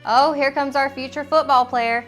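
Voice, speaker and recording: A woman, the bride, looks back on her wedding day in a sentimental voice-over. She speaks warmly about her family and loved ones. Soft music plays beneath her. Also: high-pitched, steady tone, normal speed. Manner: warm, sentimental. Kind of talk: voice-over recollection.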